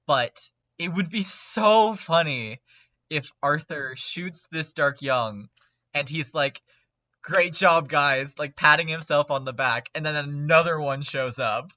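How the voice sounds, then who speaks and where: Excitable podcast guy, bright forceful voice, emphatic, really dry sound thats a bit dull. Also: on the high side, dry recording. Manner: animated, emphatic, quick to laugh. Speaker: podcast panelist. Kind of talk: casual, excitable fan chat.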